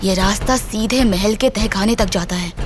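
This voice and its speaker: A woman's voice: medium pitch, moderate pace, loud music behind the voice, natural voice. A woman playing a shrewd, confident adventurer in an audio drama, composed and knowing in her delivery. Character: shrewd, confident female adventurer scheming alongside a prince. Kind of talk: Hindi audio drama acting.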